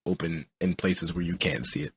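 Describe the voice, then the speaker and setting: A man's voice: low voice, steady tone, moderate pace, a little hiss or hum. A young man with a Philadelphia accent, sick, hosting a podcast in casual joking chat that is full of laughs. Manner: joking, laughs often, rambling. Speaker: podcast host.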